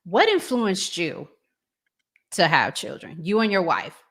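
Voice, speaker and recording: A woman hosts a casual discussion in a slightly low voice. Her delivery is slow and deliberate as she addresses the audience and puts questions to her guest. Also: wildly swooping pitch, a bit bright. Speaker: host reading audience comments and asking questions. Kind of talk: casual talk show hosting.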